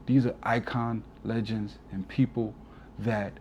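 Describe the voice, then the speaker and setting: A man's voice: on the low side, flat delivery, unhurried, darkish tone, a little hiss or hum. A man in his forties, a DJ guest on an interview, talking earnestly and from the heart, often adding "you know". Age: 40s. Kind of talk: casual reflective interview talk.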